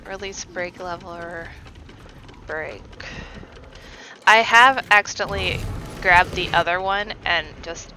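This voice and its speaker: A woman streaming a game, chatting softly and giggling, slightly raspy from allergies. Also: lowish voice. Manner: relaxed, giggly, reads choices aloud. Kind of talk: soft-spoken gameplay chat. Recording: faint background noise.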